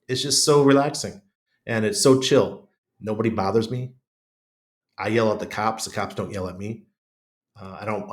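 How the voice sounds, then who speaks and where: A middle-aged American man with chest congestion, chatting casually and bluntly as a podcast guest. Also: fairly low pitch. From United States. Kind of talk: casual conversational interview.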